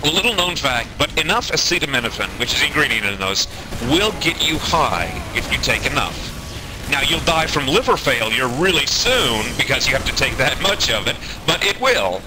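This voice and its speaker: A man telling a comedic anecdote in an animated way, acting out the quotes as he goes. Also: mid-range voice, normal speed. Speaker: storyteller, online gamer. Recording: noisy amateur game-session recording.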